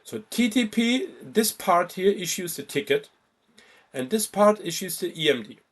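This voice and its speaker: A man giving an informal step-by-step software tutorial as an instructor, halting at times and stressing key points by repeating them. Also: high-pitched, very animated. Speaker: travel booking software instructor.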